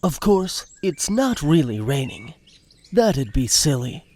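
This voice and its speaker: A man's voice: fairly high pitch, very animated, takes it slowly, dark tone, slight background noise. A man narrating a scripted comic audio drama in a wry storytelling manner. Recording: music behind the voice.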